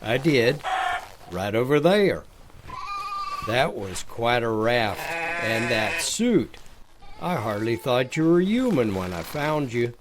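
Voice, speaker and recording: Grave, caring male survivor, acted by a man, mid pitch, slow and measured, noisy outdoor-style soundscape. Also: big pitch swings. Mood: easy, kindly. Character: grave, caring husband surviving after disaster. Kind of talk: audio drama acting. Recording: clearly noisy, clicks and farm animal sounds.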